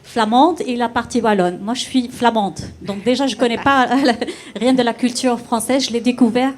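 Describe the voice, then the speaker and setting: Woman from belgium, fairly high voice, candid story, faint noise behind. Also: steady tone, moderate pace. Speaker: consultancy founder. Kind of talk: spontaneous testimony.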